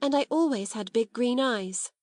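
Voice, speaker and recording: A woman's voice: fairly high pitch, moderate pace, a little mellow, no echo, studio quality, voice pushed up, close to an everyday voice. A woman voicing a brave, spirited young schoolgirl who speaks earnestly. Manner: earnest, fierce when stirred.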